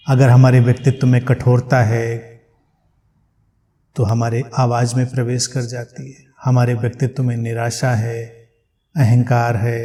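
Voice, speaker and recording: Guy leading guided meditation, really slow and mellow, gentle, lots of long pauses. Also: mid-range voice. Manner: gentle, unhurried, with long pauses between short phrases.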